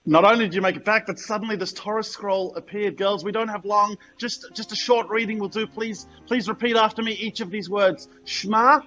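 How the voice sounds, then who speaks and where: A man leads a brief ceremony as a rabbi. His voice is bright and forward, with faint music underneath. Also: sits high, fairly even intonation, a little fast, hardly any pauses, lo-fi sound.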